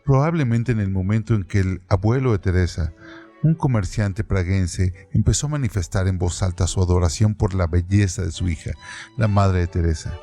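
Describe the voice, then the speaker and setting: A man giving a measured, deliberate scripted reading of a literary text as narrator of a radio-theatre piece. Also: low-pitched, mellow, faint music behind.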